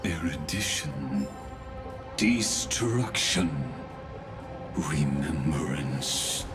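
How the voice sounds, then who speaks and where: A sinister scholar from a fantasy game with an extremely low voice. He speaks very slowly, with theatrical courtesy and amused condescension, savoring his eloquent phrasing. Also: expressive, over music, exaggerated voice. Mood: grandiose, ominous. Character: sinister, eloquent, amused male scholar-schemer of a doomed world. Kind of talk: English game dub voice acting.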